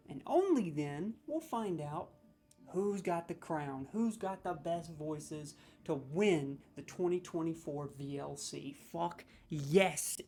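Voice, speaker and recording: A man hosting a comedic gaming video, talking in an excitable, rambling, sarcastic way and swearing freely. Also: fairly high pitch, a little hiss or hum.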